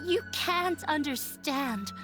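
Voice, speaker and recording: A wounded but resolute young woman from a video game. Her voice is high and earnest, her delivery measured and firm, with faint music underneath. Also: animated. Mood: pained. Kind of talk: dramatic video game voice acting.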